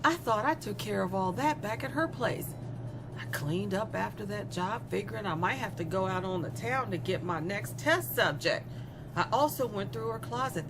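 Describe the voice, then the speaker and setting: Woman acting a taunting, flippant young female killer, animated delivery. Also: mid-range voice, lightly acted. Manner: mocking, conversational, sardonic, giggly asides. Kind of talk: dramatic monologue in an audio horror comedy.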